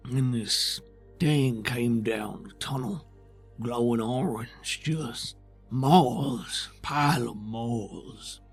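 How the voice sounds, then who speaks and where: A man playing a weary, protective, tentacled creature in a tabletop roleplay, with halting, folksy, plainspoken speech. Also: mid-range voice, breathy edge, faint music behind, heavily acted. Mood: weary, uneasy.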